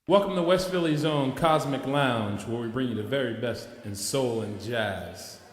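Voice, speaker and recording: A man's voice: medium pitch, moderate pace. A man announcing a musical act like a club MC, with music playing behind him. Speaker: announcer introducing a performer. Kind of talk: stage MC introduction on a music track. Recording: reverberant hall sound over loud guitar music. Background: loud music with guitar.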